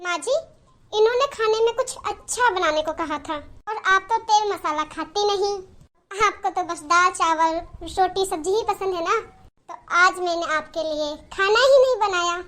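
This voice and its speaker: Woman acting a snappy, high-pitched selfish young daughter-in-law. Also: moderate pace, bright, forceful tone. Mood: defiant, snappy. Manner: snappy, scornful retorts. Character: selfish, sharp-tongued young daughter-in-law who sulks and snaps.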